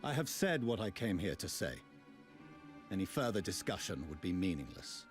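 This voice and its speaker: A man's voice: deep voice, sing-song, moderate pace. An actor playing a stern, cold scholarly father in a video game, with grave, formal delivery. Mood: cold dismissal. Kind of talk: dramatic video game voice acting. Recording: music behind the voice.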